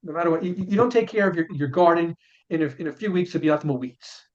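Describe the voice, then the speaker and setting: Earnest chiropractor with muffled lo-fi sound. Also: male voice, fairly high pitch, quick pace. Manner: earnest, repeats words often while explaining. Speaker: chiropractor and author, guest. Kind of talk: casual interview answers. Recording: dull lo-fi sound.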